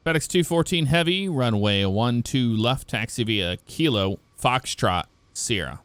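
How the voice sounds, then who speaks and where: A man speaks as a ground controller in a flight simulation. He delivers standard air traffic phraseology in short, procedural chunks, with a medium-pitched voice. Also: lively intonation, unhurried, fairly bright tone, clean tone, no room sound.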